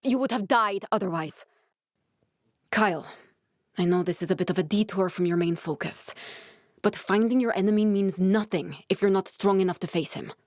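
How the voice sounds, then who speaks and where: Actress as a young woman scientist survivor, video game dialogue, earnest, guarded, serious delivery, mid-range pitch, dry studio-like sound. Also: darkish tone, no room sound, natural voice.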